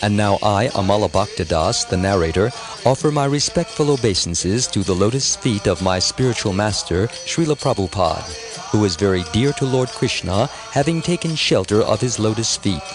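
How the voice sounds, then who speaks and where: A man reading a scripted audiobook narration in a measured, reverent, formal manner. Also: on the low side, lively intonation. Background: music.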